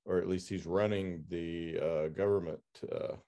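A man hosting a casual interview, talking in a halting way as he searches for his words. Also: sits low, steady tone, dark tone. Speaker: podcast host interviewing an author.

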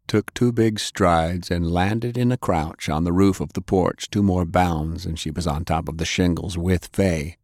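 Male audiobook narrator, low voice, very dry close sound, telling action fiction. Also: a little mellow, no echo.

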